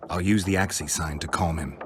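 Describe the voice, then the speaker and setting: An actor playing a calm, gruff monster-hunting mercenary in a video game, speaking in a terse, restrained, dry way. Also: male voice, deep voice, a little fast, a little hiss or hum, close to an everyday voice. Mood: calm, focused. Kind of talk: video game cutscene acting.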